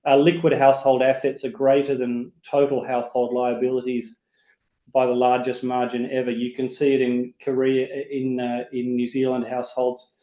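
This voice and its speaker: A man who is getting over a cold, sounding croaky, presents an economic outlook on a video call with many fillers and restarts. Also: mid-range voice, completely flat delivery, normal speed, warm tone. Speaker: chief economist, webinar speaker. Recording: roomy, dull remote webinar audio.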